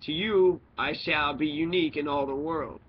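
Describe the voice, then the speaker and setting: A man voicing a lonely, philosophical talking fox from a storybook, speaking in earnest, deliberate dialogue. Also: a little high, fairly even intonation, close to an everyday voice. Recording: dull lo-fi recording with noise.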